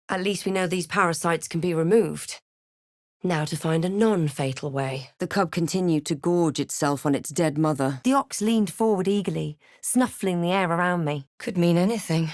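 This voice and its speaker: Fantasy RPG heroine: thoughtful spellcasting adventurer, guarded and drily snarky, lower-set voice, deliberate phrasing, studio-dry sound. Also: female voice, no room sound. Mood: wary, curious. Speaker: video game player character. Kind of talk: scripted game voice lines, narration and barks.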